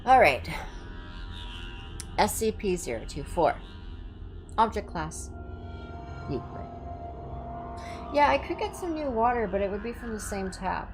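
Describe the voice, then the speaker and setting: A woman's voice: medium pitch, big pitch swings, measured pace, pauses often. A woman hosting a livestream, reading clinical horror reports aloud with informal asides and the odd stumble over hard words. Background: music.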